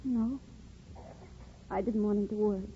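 An actress playing a soft-hearted, anxious young wife and mother in a 1950s radio drama. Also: mid-range voice, steady tone, measured pace, close to an everyday voice. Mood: earnest. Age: young adult. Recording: muffled old radio with hiss.

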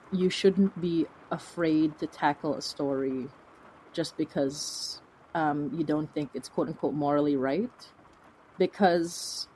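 A woman's voice: low voice, warm tone, a little hiss or hum, rough recording. A woman speaking as a podcast guest, answering in an earnest, reflective, conversational way. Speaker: guest, fiction podcast creator.

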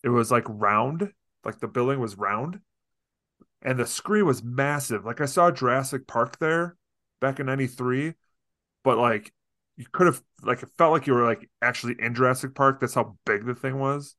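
A man's voice: mid-range voice, moderate pace, pushed and bright, no echo, studio quality. A man hosting a podcast, asking friendly, rambling questions in casual interview talk. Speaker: podcast host.

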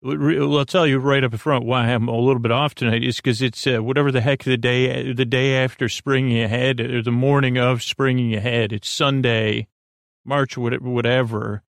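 A man hosting a bedtime podcast, talking in a creaky voice and wandering through tangents with many fillers. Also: medium pitch, steady tone, normal speed, no echo, clean studio sound. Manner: meandering, full of tangents and fillers. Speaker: podcast host. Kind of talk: rambling bedtime podcast intro.

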